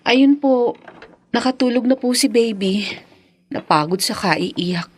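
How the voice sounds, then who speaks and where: Worried young filipino mama in a radio drama, tender cooing, kinda high voice, meek. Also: female voice, slow, slight background noise. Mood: apologetic, worried. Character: tender, anxious young mother and wife.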